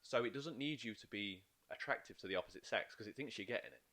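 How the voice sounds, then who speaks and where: A man's voice: fairly low pitch, fast. A man chatting on a podcast as a life coach, explaining eagerly and acting out inner voices. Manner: animated, explains in bursts with quoted inner voices. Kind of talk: casual podcast chat.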